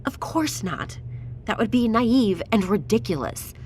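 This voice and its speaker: An actress playing a blunt, anxious doctor in a crisis audio drama, insistent and exasperated. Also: female voice, a little high, animated, measured pace, darkish tone, a little hiss or hum. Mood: tense, arguing.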